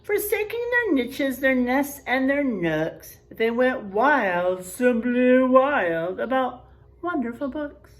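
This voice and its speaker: Lady teacher doing a storybook read aloud for little kids, slow and really sing-song up and down, draws out the rhymes, chats and exclaims between bits. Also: high voice. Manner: expressive, drawn-out emphasis on rhymes, exclaiming. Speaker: elementary school teacher reading aloud. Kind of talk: animated picture-book read-aloud with chatty asides to children.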